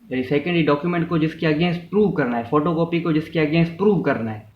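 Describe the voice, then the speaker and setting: Echoey, higher-pitched Pakistani man teaching. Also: fairly quick. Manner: code-switches into English legal terms; repeats and rephrases points. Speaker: law lecturer. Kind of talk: informal explanatory law lesson. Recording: echoey room, muffled, faint noise.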